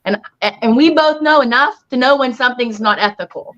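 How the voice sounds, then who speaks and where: A woman's voice: a little high, normal speed, fairly bright tone. A woman speaking earnestly and emphatically in a casual panel talk, as a paranormal investigator and healer. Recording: dull, lo-fi online audio.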